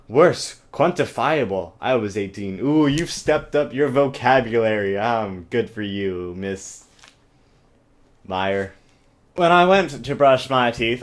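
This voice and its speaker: A man with a mid-pitched voice performs a mocking reading of a novel. He is theatrical and exaggerated, dropping sarcastic comments as he goes. It sounds like an amateur webcam recording. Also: animated, unhurried. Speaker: amateur reader and comic commentator. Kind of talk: mocking dramatic book reading with sarcastic asides.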